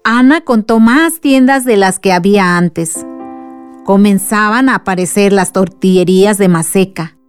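An actress with a Mexican accent playing a thoughtful village aunt, narrating earnestly in a radio drama. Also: female voice, medium pitch, measured pace, clean tone, no room sound, close to an everyday voice. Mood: earnest, reflective. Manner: earnest, storytelling. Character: thoughtful village aunt recounting the town's past. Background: music.

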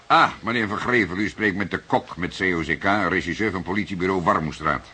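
A man playing an unhurried, wry, stubborn veteran police detective in a radio drama, speaking in a deliberate, dry, fatherly way. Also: low voice, pushed and bright, breathy edge, heavily acted. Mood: polite, insistent. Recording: noisy archive radio drama recording.

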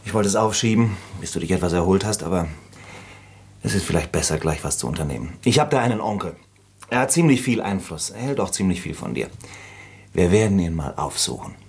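Radio play: loyal practical friend, low husky voice, plain-spoken, protective, a touch exasperated. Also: male voice, animated, a little fast, a little mellow, heavily acted. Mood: reassuring, exasperated. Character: concerned, practical, protective friend of a sick man. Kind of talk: German radio drama acting.

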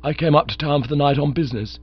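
A man voicing an excitable, scatterbrained young gentleman who chatters eagerly and breathlessly. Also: a little high, fast, husky, voice pushed up. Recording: dull lo-fi recording with mains hum.

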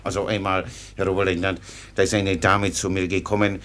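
An actor playing a talkative, sly and simple-minded soldier servant and dog dealer in a radio play, rambling ingenuously. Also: male voice, low voice, little pitch movement, fairly quick, fairly bright tone. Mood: eager rambling. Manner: rambling, folksy, ingenuous storytelling.

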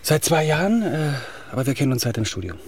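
An actor playing an earnest, deferential young author in a radio play, polite and slightly unsure. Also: male voice, on the high side, very animated, quick pace, darkish tone, husky, heavily acted. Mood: defensive, candid.

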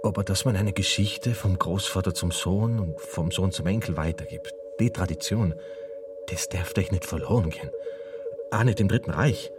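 A man's voice: deep voice, moderate pace, over loud music, lightly acted. A man playing a stubborn, pleading mountain film maker and storyteller in a German radio play. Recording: distant mic, heavy background noise.

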